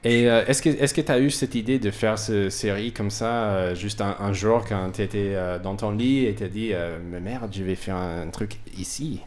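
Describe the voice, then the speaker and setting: A man, a native English speaker with a foreign accent, hosting a casual radio talk show in halting, loosely worded language. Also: lowish voice, darkish tone. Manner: halting, loosely worded French with grammar slips. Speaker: radio host. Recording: faint background noise.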